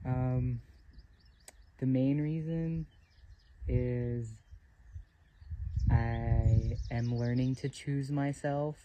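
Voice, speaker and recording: A man from North Carolina talks slowly in a soft-edged, mellow voice. He records a casual, reflective hiker interview outdoors, hesitant and a bit amused at himself. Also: medium pitch, muffled recording, slight background noise. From North Carolina, born in Puerto Rico. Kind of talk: casual, reflective chat to camera.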